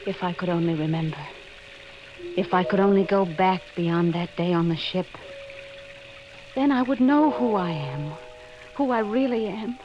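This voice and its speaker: Troubled, confused wife, played by an actress: halting melodramatic 1940s radio delivery, muffled vintage sound. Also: female voice, lowish voice, crowd noise, lightly acted. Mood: haunted, brooding. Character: troubled, confused wife desperately searching her lost memory. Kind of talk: 1940s radio drama acting. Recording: muffled, noisy old radio recording.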